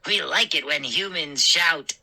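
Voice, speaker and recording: A woman's voice: sits low, a little slow, heavily acted. A woman doing a rowdy, gleeful gnome. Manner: loud, egging on.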